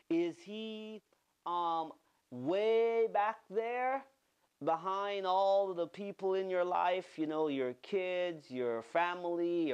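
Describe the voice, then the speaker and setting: Animated man preaching a sermon. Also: fairly high pitch. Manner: animated, gestures broadly, repeats words for emphasis. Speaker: pastor. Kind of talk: online sermon. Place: studio with green-screen backdrop.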